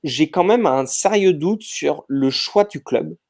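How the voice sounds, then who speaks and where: A man's voice: fairly high pitch, lively intonation, takes it slowly, darkish tone. A man talking casually on a podcast, thinking aloud with lots of fillers and restarts. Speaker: podcast panelist.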